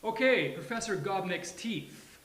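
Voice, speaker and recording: A man's voice: sits high, animated, a little slow, fairly bright tone. A man playing a wry, slightly anxious man in a sitcom table read, with dry, hesitant delivery. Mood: eager. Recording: hissy, roomy live recording.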